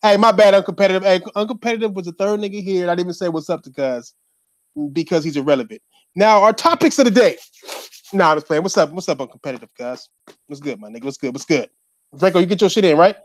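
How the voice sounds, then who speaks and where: Brief: male podcast host, a touch high, animated, dry close mic, crude banter. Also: quick pace, no room sound. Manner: loud, profane, joking banter. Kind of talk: casual group chat.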